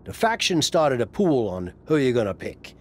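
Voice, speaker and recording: An actor playing a playful, scheming wrestling buddy in a video game cutscene, with a jokey, casual manner. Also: male voice, mid-range voice, very animated, normal speed, darkish tone, slight background noise, lightly acted. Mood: casual coaxing.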